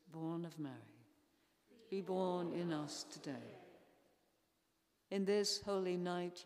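An older woman leading formal prayers in a solemn, measured way, reading with pauses between phrases. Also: lowish voice, a little mellow. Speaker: church intercessor leading prayers. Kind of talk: formal read liturgical prayer. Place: large church interior. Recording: echoey church hall, long reverb.

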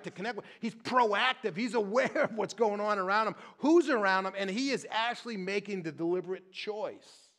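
A middle-aged male pastor giving a sermon in a church, his voice slightly higher than most men's. He talks in a chatty, animated way, often breaking off and restarting and checking in with the congregation. He moves around the stage near a stand mic. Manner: conversational, animated, frequent restarts and "right?".

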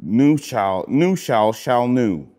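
A man in his thirties from Wales with a Cardiff accent, a rapper casually reading out comments in a relaxed, slangy way that often trails off quietly. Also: medium pitch, slow, faint music behind. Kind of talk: casual chat. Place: indoor studio with graffiti wall.

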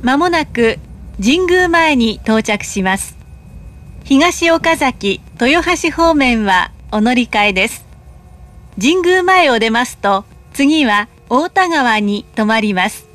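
High-pitched, unhurried female train announcer. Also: expressive, fairly bright tone. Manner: polite, measured, careful enunciation. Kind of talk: recorded public announcement, scripted.

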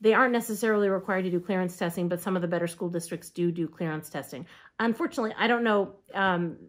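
A woman's voice: on the low side, quick pace. A middle-aged woman hosting a casual video-call interview and explaining things steadily and informatively, with the occasional "um". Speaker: show host. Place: indoor room against a plain backdrop.

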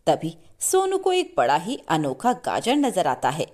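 A woman's voice: lowish voice, huge pitch swings, measured pace, darkish tone. A woman storyteller narrating a scripted moral tale with dramatic storybook delivery.

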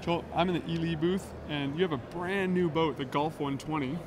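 A man's voice: on the high side, moderate pace. A man in his thirties hosting a casual trade show interview into a handheld microphone. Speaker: interviewer, web video host. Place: large indoor convention hall booth. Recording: noisy busy trade show floor.

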